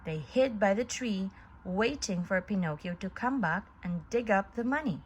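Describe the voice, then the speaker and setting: A woman reading a children's story aloud in an expressive, deliberate storyteller's manner. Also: medium pitch, slight background noise.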